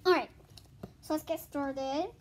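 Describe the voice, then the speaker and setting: A woman's voice: high voice, unhurried. A female speaker in a casual home read-aloud and vlog, chatting informally with muttered asides to herself. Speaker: host reading a children's book aloud. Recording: noisy home recording with clicks.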